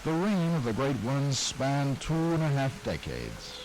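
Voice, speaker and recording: A man's voice: medium pitch, measured pace, poor audio. A man with an American accent delivering scripted documentary narration as the narrator. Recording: rain noise over old broadcast audio. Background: steady rain.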